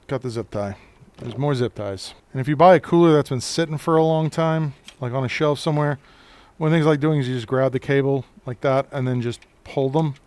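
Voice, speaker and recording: Deep-voiced male repair tech, laid-back, chatty stream narration at the bench, mid-pitch. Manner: relaxed, chatty, talks through each step and answers chat. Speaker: computer repair technician. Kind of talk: live-streamed repair walkthrough.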